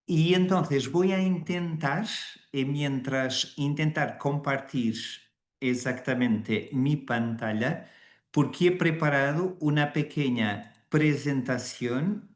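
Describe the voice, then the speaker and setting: A man's voice: a little high, slow, roomy sound. A man with a Portuguese accent, a Jesuit priest giving a webinar presentation over a video call, hesitating with fillers and restarting words. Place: indoors in Rome.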